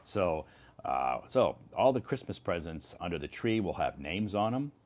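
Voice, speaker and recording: A middle-aged man from Iowa, live-streaming a casual chat with English learners, speaking slowly and repeating words clearly. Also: low-pitched, sing-song, a little mellow. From Iowa, United States. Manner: slow, repetitive, clearly enunciated for learners.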